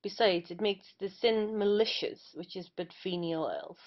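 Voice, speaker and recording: A woman's voice: medium pitch, moderate pace, natural voice. A woman playing a sardonic, moralizing wit in an audio play of a period comedy. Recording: dull, lo-fi audio.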